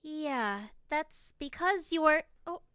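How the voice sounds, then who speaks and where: A woman's voice: sits high, lively intonation, slow pace, fairly bright tone, slight background noise, full character voice. A woman playing a gossipy young friend who lets secrets slip, in an audio drama.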